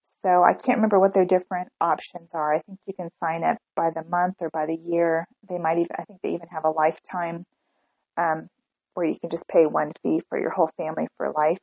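A woman with a cold hosts her own podcast. Her voice is low and gravelly, and she talks in an earnest, chatty way with small restarts. The sound is dry and close, with no room around it. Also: little pitch movement, fairly quick, no room sound, highs cut off. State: has a cold. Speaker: podcast host. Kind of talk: solo podcast talk.

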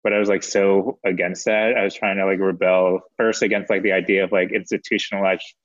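A man's voice: low voice, level tone, a little fast, no echo. A man from the United States chatting casually about himself in a hesitant, reflective way, on a dull recording. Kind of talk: casual chat, personal storytelling. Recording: dull, band-limited audio.

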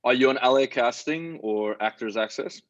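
A man's voice: medium pitch, moderate pace, no echo. Man in dull, dry casual chat. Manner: emphatic, slangy, peppered with swearing. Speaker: working actor, podcast participant giving advice.

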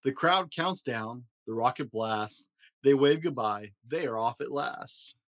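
A man hosting a story podcast, reading a rhyming children's picture book aloud in an expressive, rhythmic way. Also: mid-range voice, measured pace. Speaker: podcast host and story reader.

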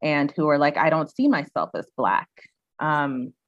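A woman's voice: sits low, expressive, unhurried, no room sound, lo-fi sound. A young woman raised in the United States, speaking reflectively as a guest in a casual interview, with many "um"s and "you know"s.

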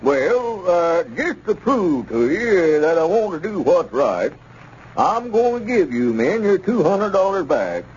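An actor playing a scheming, stingy small-town squire in a 1930s radio comedy, hesitant and full of fillers. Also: male voice, sits high, sing-song, measured pace, a little mellow. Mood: bargaining. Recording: muffled 1930s radio transcription with hiss.

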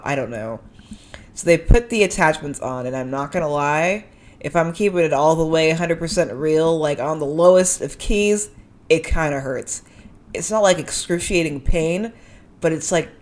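A woman hosting a podcast in a casual, slangy, conversational monologue, speaking with a slight lisp. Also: sits low. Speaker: podcast host.

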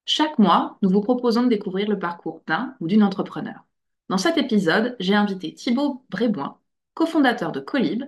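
A woman's voice: mid-range voice, big pitch swings, moderate pace. A woman hosting a business podcast, welcoming her guest and asking brief, direct questions. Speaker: podcast host, marketing advisor.

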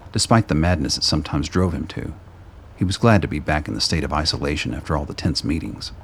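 A man reading scripted narration for a radio play in a deep, gravelly voice. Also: a little hiss or hum.

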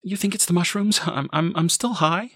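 A man's voice: wildly swooping pitch, unhurried, a bit bright, slightly rough, no room sound, studio quality, heavily acted. A man voicing a distraught, frightened young mother in an audiobook, stammering and pleading. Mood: apologetic, anxious. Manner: stammering, high-strung.

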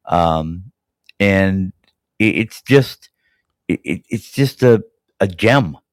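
A man with a somewhat low voice, raspy and hoarse from a cold, hosts a casual hockey podcast. He rambles enthusiastically, full of fillers and restarts. The sound is close and dry. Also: lively intonation, extremely slow, no echo. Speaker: podcast host. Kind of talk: casual sports chat.

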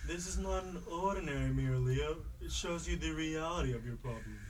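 A man voicing a calm, wise bear guide in an amateur radio drama, speaking in a measured, reassuring way. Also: a little high, recorded from a distance, slight background noise, close to an everyday voice.

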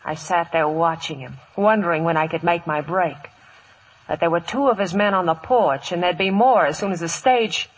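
A woman's voice: on the low side, normal speed, husky, low-quality recording. An actress playing a weary, wry saloon woman who also narrates, in 1950s radio drama style. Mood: grave, narrating. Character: weary, wry Old West saloon woman telling her story. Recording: old 1950s radio broadcast, faint music.

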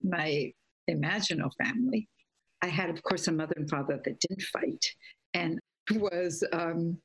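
A middle-aged woman from Guatemala telling her life story in a reflective, meandering way, correcting herself and laughing softly. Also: on the low side, measured pace, breathy edge, low-quality recording. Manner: dreamy, meandering, with soft laughs and self-corrections. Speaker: guest, imagery teacher.

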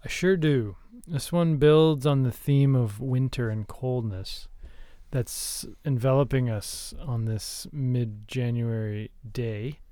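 A man with a smooth, clean voice and a middling pitch speaks in a very dry recording. He is a comedy podcast co-host, chatting casually. His delivery is deadpan and mock-serious. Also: slow pace, no room sound.

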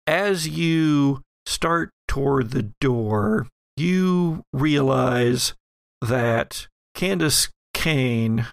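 A man's voice: medium pitch, animated, very slow, vocal fry, no echo, studio quality. A man narrating a horror role-playing audio drama as game master, with descriptive, suspenseful storytelling.

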